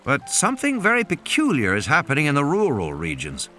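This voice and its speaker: A man voicing a courteous, inquisitive male researcher in audiobook dialogue, measured and polite. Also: on the high side, huge pitch swings, bright, forceful tone, heavily acted. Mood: measured, probing. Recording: music under the voice.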